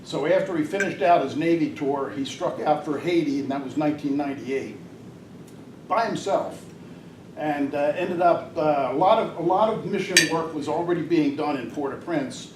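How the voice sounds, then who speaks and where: Older man, rambling club talk, slightly high voice, distant mic, noisy echoey room. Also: normal speed. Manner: rambling, hesitant with many fillers. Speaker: guest speaker at a Rotary club.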